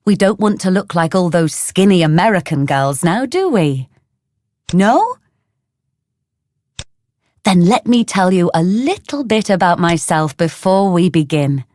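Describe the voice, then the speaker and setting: A woman's voice: on the low side, expressive, a little mellow, dry recording. A woman reading the part of a welcoming, motherly detective who offers tea and chats with guests. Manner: welcoming, chatty, slow. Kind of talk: scripted reading for learners.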